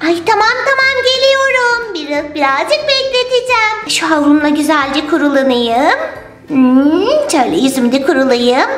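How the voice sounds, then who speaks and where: A cheeky little girl doll, extremely high and squeaky, speaking in a giggly sing-song for a children's toy story. The sound is roomy and echoing, with music playing underneath. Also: fairly bright tone, full character voice.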